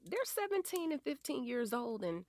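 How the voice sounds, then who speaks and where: A woman's voice: a little high, very animated, normal speed, darkish tone, no echo, clean studio sound. A woman playing an affectionate, sassy wife and mother in an audio drama, with playful delivery. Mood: playful, fond.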